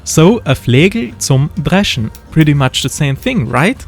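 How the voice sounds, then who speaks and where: A man's voice: fairly high pitch, unhurried. German-accented man narrating a craft build. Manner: relaxed and wry, with dry jokes. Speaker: model-building video creator. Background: faint background music.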